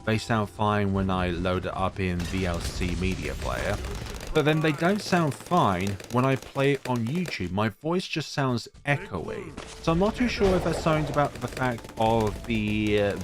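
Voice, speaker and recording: A man with a deep voice giving casual, rambling commentary on his own gameplay, full of fillers. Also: noisy background. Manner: rambling, chatty, many fillers. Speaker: gaming YouTuber. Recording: music playing behind voice.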